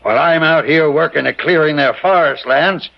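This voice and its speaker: A man's voice: a little high, normal speed, vocal fry. An actor playing a stern, bearded old settler father who whips his daughter, speaking in an archaic, preaching way in an old radio drama. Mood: contemptuous. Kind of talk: 1970s radio drama acting. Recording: muffled old radio recording.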